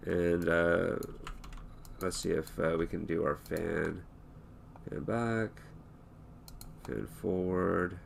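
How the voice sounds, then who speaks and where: A hoarse man talking through an animation tutorial in a relaxed, thinking-aloud way, with many fillers and restarts. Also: sits low, slow, pauses often, a little hiss or hum. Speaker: animation instructor. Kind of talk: screen-recorded tutorial talk.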